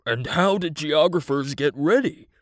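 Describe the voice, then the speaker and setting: A man's voice: mid-range voice, animated, normal speed, dry recording, close to an everyday voice. Man playing a sensible, reserved storybook toy animal, dry sound. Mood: timid, curious. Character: reserved, curious male toy lion and sensible toy owl. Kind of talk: children's audiobook character acting.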